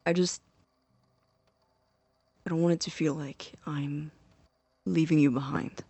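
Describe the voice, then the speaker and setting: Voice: mid-range voice, steady tone, mellow, husky, no room sound. An actor playing an awkward, hesitant young man about to leave for college, in a naturalistic audio drama. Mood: hesitant, guilty. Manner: hesitant, halting, self-conscious.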